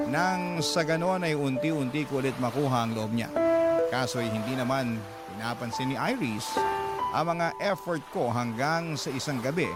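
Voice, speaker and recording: A man's voice: mid-range voice, natural voice. An actor with a Filipino accent playing an aggrieved young boyfriend, narrating his heartbreak earnestly in the first person for a radio drama.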